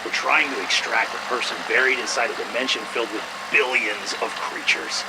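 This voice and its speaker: An actor playing an irritable, slippery scientist, sarcastic and foul-mouthed, in a video game audio log. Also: male voice, mid-range voice, fairly even intonation, a little fast, very noisy, close to an everyday voice. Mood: exasperated, protesting. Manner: sarcastic, blustering, swearing.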